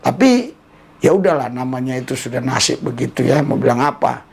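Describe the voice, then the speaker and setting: A man's voice: mid-range voice, animated, a little fast, gravelly, crowd noise. A man gives casual commentary with a preaching bent, mocking and repeating himself for emphasis. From Indonesia.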